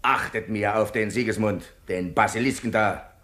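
An actor playing a cruel, bombastic rebel captain in a theatrical radio play, with a bellowing, pompous, menacing delivery. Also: male voice, on the low side, moderate pace, a bit bright, natural voice. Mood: scornful, vengeful.

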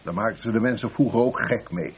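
A man's voice: lowish voice, fast talker, husky. An actor playing an exasperated intelligence chief in a radio play, sardonic and commanding with his staff. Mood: grudging, exasperated. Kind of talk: radio drama acting.

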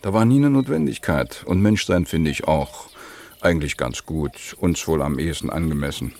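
Deep, gravelly, wry thirties man. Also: lively intonation, normal speed, a little mellow, slight background noise, heavily acted. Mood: bemused. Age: 30s. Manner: wry, understated, laconic. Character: wry, self-deprecating mid-thirties man, amateur disc jockey. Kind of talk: naturalistic German radio play dialogue.

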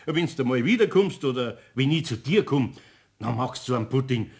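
A man's voice: medium pitch, animated, moderate pace, darkish tone, close to an everyday voice. An actor playing a good-natured, bashful older bachelor craftsman in a children's radio play, with a homely Bavarian accent. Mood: amused. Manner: chuckling, hesitant, homely.

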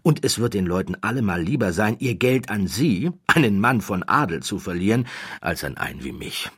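Audiobook role: persuasive card sharp played by a male narrator, low and husky, confidently familiar. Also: animated, normal speed, dry recording, studio quality. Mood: confident, scheming. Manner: persuasive, matter-of-fact, familiar. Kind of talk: acted audiobook dialogue.

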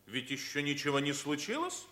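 An actor playing an agitated, blustering older schemer in a 1950s Soviet theatrical radio drama. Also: male voice, on the high side, huge pitch swings, brisk, bright, forceful tone, exaggerated voice. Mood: nervous, evasive.

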